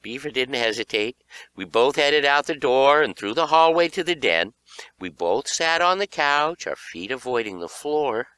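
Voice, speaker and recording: A man's voice: mid-range voice, lively intonation, moderate pace, sharp tone, smooth, no echo, poor audio. A man narrating children's horror fiction in a theatrical, suspenseful audiobook style.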